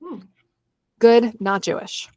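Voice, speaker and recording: A woman's voice: a little high, expressive, takes it slowly, darkish tone, no echo. A woman chatting casually as co-host of a book discussion podcast.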